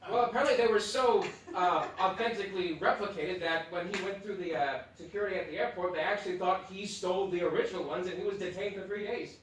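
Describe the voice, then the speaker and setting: A man's voice: high-pitched, quick pace, hardly any pauses, natural voice. Guy acting as earnest game show contestant telling his story, distant mic, lots of echo. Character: earnest male contestant insisting on a tall tale. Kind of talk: telling an anecdote on a comedy stage. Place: live theatre stage. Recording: echoey stage from far off, faint noise.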